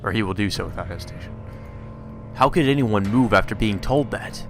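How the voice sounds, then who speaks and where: A man reading a visual novel aloud as a let's player, expressive and given to profane asides. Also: lowish voice, brisk. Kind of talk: gaming commentary mixed with read-aloud narration. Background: game music.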